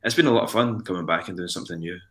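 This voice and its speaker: A man with a low voice that has a bright, forward edge chats easily in an interview. He rambles and restarts his sentences in a relaxed way. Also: racing. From Ayrshire, Scotland. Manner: rambling, self-interrupting, easygoing. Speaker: musician, band frontman. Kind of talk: casual interview chat.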